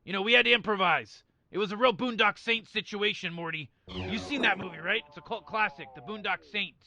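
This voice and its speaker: A man's voice: high voice, brisk, pushed and bright, heavily acted. A man voicing a cocky, eccentric old male scientist in a fan game playthrough, rambling smugly and brushing off worries. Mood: dismissive. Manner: rambling, smug, dismissive. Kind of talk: fan impression during a video game playthrough.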